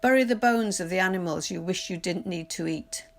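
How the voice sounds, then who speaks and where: A woman reading poetry aloud in a measured, literary recitation, deliberately pausing phrase by phrase. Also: on the low side. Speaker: poetry reader. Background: music.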